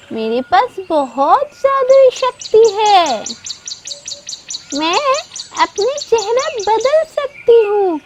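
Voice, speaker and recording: A woman's voice: very high-pitched, noisy background, pitched above the performer's own voice, heavily acted. A woman voicing a kind, encouraging magical talking macaw in an earnest, emphatic children's cartoon manner. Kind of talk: children's moral cartoon dialogue. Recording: loud birdsong and ambience behind.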